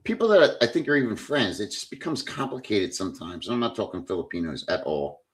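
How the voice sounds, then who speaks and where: A man's voice: fairly low pitch, animated, fast. Blunt, swearing male livestream host. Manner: blunt, swears freely, rambling and chatty. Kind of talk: casual livestream chat reading comments.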